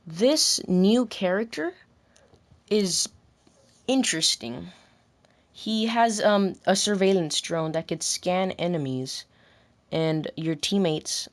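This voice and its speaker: A woman recording scripted commentary about a video game, deliberately delivering lines and often rephrasing them in retakes. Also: medium pitch, lively intonation. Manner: deliberate, restarts and rephrases whole sentences.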